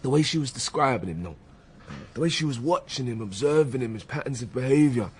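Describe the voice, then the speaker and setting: A man's voice: mid-range voice, expressive, fairly quick, breathy edge. An actor playing a blunt, defensive British police detective, a man who talks colloquially in a naturalistic radio drama. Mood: troubled, uneasy. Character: hot-headed, defensive male police detective, younger colleague.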